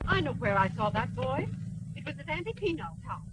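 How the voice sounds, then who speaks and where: A woman's voice: high-pitched, very animated, a little fast. An actress playing an eager, friendly woman in a 1930s film. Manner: eager, enthusiastic. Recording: old film soundtrack with loud hiss.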